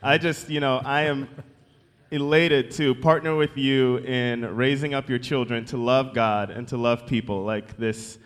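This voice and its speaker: A young American man addresses a church congregation informally, sounding chatty and grateful. His voice sits at a medium pitch. It rings with the long reverb of a large hall. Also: steady tone, unhurried, clear, resonant tone. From United States. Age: 20s. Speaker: incoming youth pastor. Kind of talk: informal self-introduction to a congregation.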